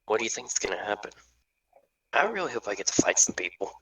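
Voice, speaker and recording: A man's voice: lowish voice, big pitch swings, quick pace, fairly bright tone, slightly rough, exaggerated voice. A man playing a feisty, grumbling teenage schoolboy in an amateur table read. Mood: eager. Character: feisty, grumbling male teenage student eager to fight. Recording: distant from the mic.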